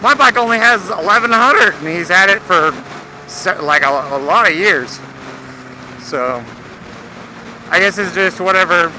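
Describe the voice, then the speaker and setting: Young American man, high-pitched, over engine noise. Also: animated, unhurried, long pauses, bright, forceful tone, low-quality recording. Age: young adult. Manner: laid-back, slangy, swearing. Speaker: motorcycle vlogger. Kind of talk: casual rambling vlog chat. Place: outdoors, riding a motorcycle. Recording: engine, road and traffic noise. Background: engine and vehicle noise.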